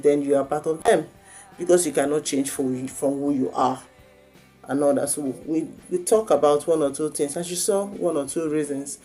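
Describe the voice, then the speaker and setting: A woman's voice: low voice, mellow. A woman from Lagos with a Nigerian accent, giving informal parenting advice in a conversational way, posing questions and answering them herself. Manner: conversational, asks and answers own rhetorical questions. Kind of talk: informal advice talk with anecdotes.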